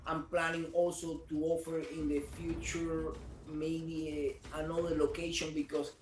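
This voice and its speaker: A man's voice: on the high side, flat delivery, a little slow, reverberant, soft background music, rough recording. A man from Cuba, a business owner answering interview questions earnestly, repeating words as he searches for them. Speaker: martial arts studio owner.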